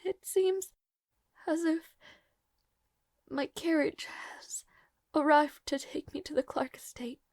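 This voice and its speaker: A woman playing a devoted, lovelorn young maid in an intimate fantasy audio roleplay, speaking formally and haltingly, tearful and earnest, with soft breathy moments. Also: sits high, no echo, studio quality. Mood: sorrowful farewell.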